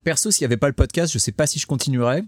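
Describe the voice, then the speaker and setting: A man's voice: mid-range voice, racing, no room sound. A man hosting a podcast in casual chat about a book, sarcastic and mocking, swearing freely as he talks. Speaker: podcast host.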